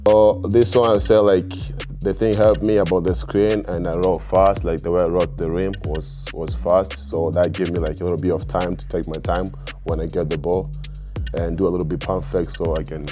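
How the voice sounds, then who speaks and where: A young man from Tanzania, a college basketball player, who talks in a relaxed, plain way with soft trailing endings. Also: low-pitched, a little fast, over music. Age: young adult.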